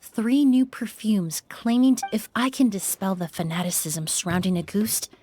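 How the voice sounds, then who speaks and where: A woman playing a courteous, composed young perfumer in a video game, explaining things in a measured, scholarly way. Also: medium pitch, fairly even intonation, warm tone, natural voice. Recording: background music.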